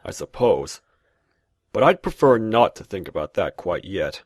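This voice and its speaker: A man's voice: sits low, big pitch swings, a little slow, breathy edge, no room sound, studio quality, heavily acted. A man voicing a sarcastic, exasperated fighter and party leader in a comic cartoon fandub. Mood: sardonic. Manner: sardonic. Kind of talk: animated comic fandub acting.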